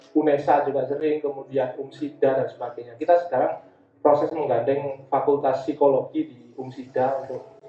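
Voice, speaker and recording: Echoey, distant, higher-voiced East Javanese man. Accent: Javanese Indonesian, East Java. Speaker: guest speaker, community education founder. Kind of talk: informal talk with personal anecdotes. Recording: dull, echoey, distant from the mic.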